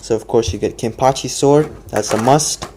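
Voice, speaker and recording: A man's voice: medium pitch, normal speed, background noise. A man casually explaining his custom figure work step by step, with occasional fillers. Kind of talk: casual product walkthrough.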